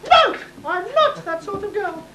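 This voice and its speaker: A woman's voice: very high-pitched, sing-song, a little slow, exaggerated voice. A woman in an amateur stage pantomime playing a haughty, stubborn young princess in an imperious, exclaiming manner. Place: hall stage, distant microphone. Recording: echoey distant hall, faint noise.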